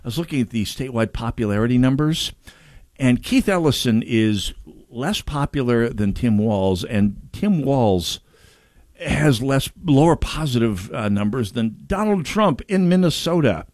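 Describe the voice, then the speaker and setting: Man, radio talk host, medium pitch, rambling and jokey, casual political chat, close dry sound. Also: expressive, a little slow, darkish tone, no room sound.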